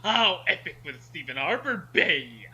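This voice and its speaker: Very bright, high-voiced man, joking. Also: big pitch swings, measured pace, slight background noise, low-quality recording. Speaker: co-reader and commentator. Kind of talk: casual joking commentary and mock read-aloud.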